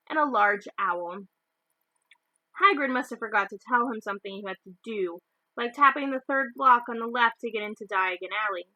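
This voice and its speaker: A woman's voice: a little high, pushed and bright, no echo, rough recording. A woman reading a children's novel aloud as a storyteller. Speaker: storybook reader.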